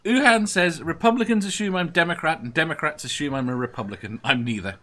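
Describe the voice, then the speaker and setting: A man's voice: fairly high pitch, fast talker, fairly bright tone. A man hosting a casual chat, teasing his guests and reading out viewer comments. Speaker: host.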